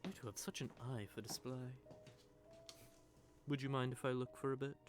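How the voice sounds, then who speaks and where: A man playing a smug, sardonic vampire who taunts a captive in a teasing, drawling, conversational monologue, with a gravelly voice. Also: medium pitch, fairly even intonation, brisk, lightly acted. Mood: polished, charming. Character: smug, sardonic male vampire gloating over a captive hunter. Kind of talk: scripted audio roleplay monologue addressed to the listener. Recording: background music under the voice.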